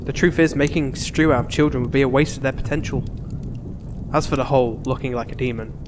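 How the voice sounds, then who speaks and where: A man's voice: mid-range voice, fairly even intonation, fast, lightly acted. A man playing a commanding, courteous master, secretly a witch in disguise, with composed theatrical delivery in a comedic fantasy audio drama. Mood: calm, courteous. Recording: long hall reverb, clear background noise.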